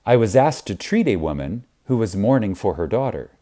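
A man narrating an audiobook in a reverent, emphatic manner with deliberate, dramatic pauses. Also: on the low side, very animated, dark tone.

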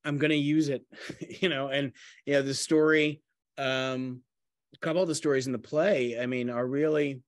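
A middle-aged man, a playwright in a talkback discussion on a video call, speaking haltingly and rephrasing often. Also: mid-range voice, fairly even intonation, dry recording, studio quality. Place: room at home, on a video call.

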